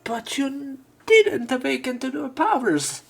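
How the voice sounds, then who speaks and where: A man's voice: very high-pitched, very animated, unhurried, gravelly, fairly bright tone, breathy edge. A man reading visual novel text aloud and commenting casually on it as a let's player.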